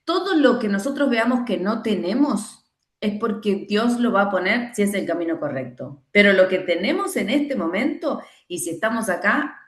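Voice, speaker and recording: A woman's voice: medium pitch, reverberant. A middle-aged woman from Argentina with an Argentine accent, leading a training talk and emphatically acting out imagined excuses and prayers. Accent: Argentine Spanish. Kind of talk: teaching conversation.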